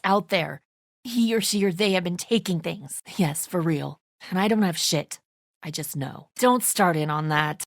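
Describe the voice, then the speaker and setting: A woman's voice: fairly low pitch, no echo, clean studio sound, close to an everyday voice. A woman voicing a tense, suspicious and defensive leader of zombie apocalypse survivors in a video game, speaking bluntly with biting sarcasm. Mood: distressed, pleading.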